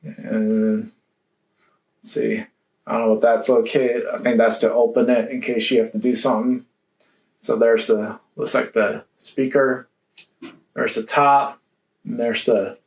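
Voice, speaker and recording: A man giving a casual, hands-on product review, thinking aloud in a halting way. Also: medium pitch. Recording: roomy echo, faint background noise.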